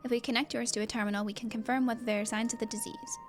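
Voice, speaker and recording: A woman voicing an earnest, concerned female fighter from a video game, speaking in a polite, measured, serious way. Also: a little high, flat delivery, music in the background, natural voice.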